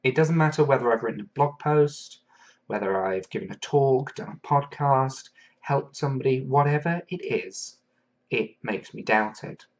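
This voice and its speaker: A man from England hosting a solo podcast, talking in an earnest, thoughtful way and pausing often with fillers. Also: mid-range voice. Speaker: podcast host. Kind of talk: reflective solo podcast monologue.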